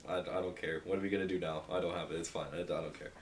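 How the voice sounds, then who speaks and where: Young man, laid-back podcast chat, very low pitch, dry humor, faint noise. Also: fairly even intonation, racing. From Pennsylvania, US. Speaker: podcast co-host. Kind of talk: casual chat among friends.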